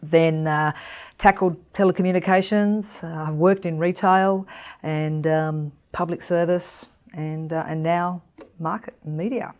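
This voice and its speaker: A chatty Australian woman with a notably low voice, running through her life and work in loose, informal lists. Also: steady tone, unhurried, a little mellow. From Croatia. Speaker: training manager. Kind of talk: casual self-introduction.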